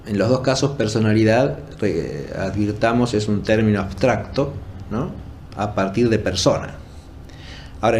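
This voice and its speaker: A man giving an unscripted academic lecture in a formal, didactic and deliberate way, rephrasing as he goes and often checking in with short tag questions. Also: on the low side, darkish tone, a little hiss or hum. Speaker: philosophy lecturer.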